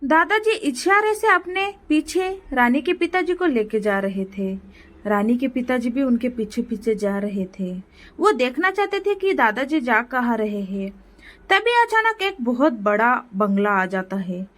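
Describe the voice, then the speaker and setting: Casting: female narrator with a high voice for scripted moral stories. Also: animated, a little slow. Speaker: storyteller.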